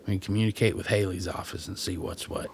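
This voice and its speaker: Low-voiced blunt backwoods loner. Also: male voice, steady tone, fast talker, creaky, a little hiss or hum. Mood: matter-of-fact. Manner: terse, unsentimental. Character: blunt, matter-of-fact loner in the frozen woods. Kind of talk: radio drama acting.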